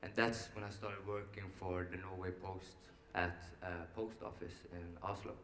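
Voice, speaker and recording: A man originally from Iran and raised in Sweden, giving a measured, rehearsed personal account at a conference. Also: low voice, fairly even intonation, a bit bright. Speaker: trainee program participant. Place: conference hall. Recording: echoey hall with faint background noise.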